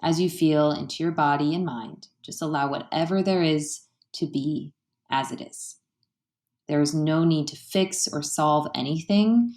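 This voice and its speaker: A woman leading a guided meditation in a gentle, soothing, unhurried way, leaving pauses between phrases. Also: low voice. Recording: roomy, echoey sound.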